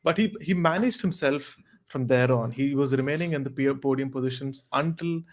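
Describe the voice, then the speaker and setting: A man with a medium-pitched voice talks as a panelist on a sports podcast. His delivery is casual yet measured, explaining things as he goes. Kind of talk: casual motorsport analysis.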